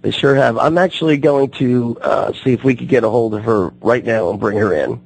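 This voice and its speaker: A man hosts a radio talk show while his voice is still on the mend. His tone is gravelly and husky. He talks haltingly, with frequent fillers and restarts, at an even middle pitch. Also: low-quality recording. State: recovering from losing his voice.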